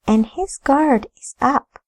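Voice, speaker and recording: A woman's voice: high-pitched, takes it slowly, a little mellow, pitched above the performer's own voice. A woman voicing a poised, scheming wife who speaks in a lilting, formal and cool manner. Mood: grave. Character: poised scheming wife and sister, grave and composed.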